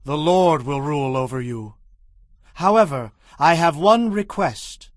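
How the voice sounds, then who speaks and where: A resolute, higher-voiced ancient war leader. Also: male voice, expressive, a little slow, no echo, studio quality. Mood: firm, resolute. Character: Gideon, resolute Israelite war leader, firm and stern. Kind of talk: dramatized audio Bible acting.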